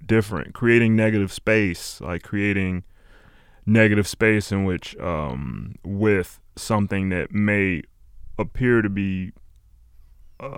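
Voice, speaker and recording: A man in his late twenties chatting casually as an interview guest, halting and meandering as he reflects. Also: low voice, clean tone, no room sound, studio quality. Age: late 20s. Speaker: interview guest, music artist. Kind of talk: casual chat.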